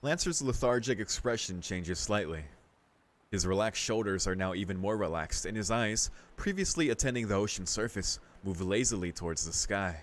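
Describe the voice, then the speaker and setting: A man's voice: fairly low pitch, lively intonation, moderate pace. A man reading a visual novel aloud in a casual let's-play style, mixing narration with his own commentary.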